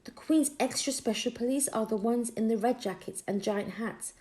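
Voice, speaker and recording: Woman as an earnest, lively young schoolchild. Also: on the high side, moderate pace, natural voice. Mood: explaining. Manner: earnest, explaining, urging. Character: young child narrator, bold and earnest friend. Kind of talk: children's audiobook character dialogue.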